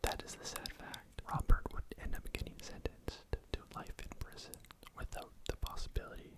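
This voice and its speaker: Man whispering a true crime story. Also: moderate pace. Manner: unvoiced whisper throughout, casual with restarts. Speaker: ASMR true crime host.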